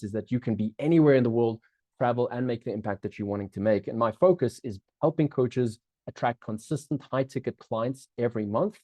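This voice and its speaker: A middle-aged man with a South African accent talks through a live online masterclass, upbeat and chatty, looping back on his phrases and turning to the audience often. His voice is warm and mellow, sitting at an average pitch. The sound is dry and close, with no room echo. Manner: upbeat, chatty, many restarts, keeps checking in with the audience. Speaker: business coach hosting a live webinar.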